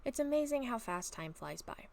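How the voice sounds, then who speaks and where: A woman chatting casually and reading her own story aloud at home, a little raspy from a long talking session, rambling with many soft ums. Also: fairly high pitch, very animated, moderate pace. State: slightly raspy throat. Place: at home, bedroom.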